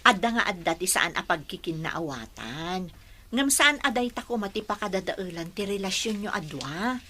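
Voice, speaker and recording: A woman's voice: medium pitch, sing-song, normal speed, pushed and bright. An actress with an Ilocano accent playing a caring young female confidante in a radio drama. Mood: concerned, consoling.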